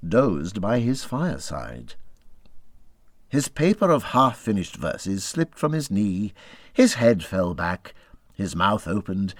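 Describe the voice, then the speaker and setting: Slow, very animated male storyteller. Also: fairly low pitch, no echo, clean studio sound. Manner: dramatic, expressive storytelling that ranges from hushed to urgent. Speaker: audiobook reader. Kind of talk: scripted narration of a classic children's animal story.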